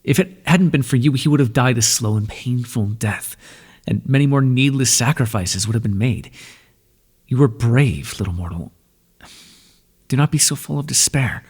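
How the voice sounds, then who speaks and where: An ancient lord of the dead, played by a man, speaks softly and tenderly, his husky, breathy voice sitting at a middle pitch. His measured, reassuring words trail off into a long, lingering reverb. It sounds like an ASMR roleplay. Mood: gentle, soothing. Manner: tender and reassuring toward the listener. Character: calm, ancient male god of the underworld.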